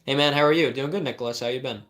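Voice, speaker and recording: A man's voice: mid-range voice, very fast, a bit bright. A young man, a life coach, reading viewers' questions on a livestream and answering with blunt, direct advice and rhetorical questions.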